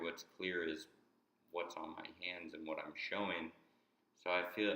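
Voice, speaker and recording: A deep-voiced man talks through an idea in a halting way, restarting and repeating words as he goes. His tone is bright and forceful despite the low pitch, and the room around him rings with echo. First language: English. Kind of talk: explanatory monologue.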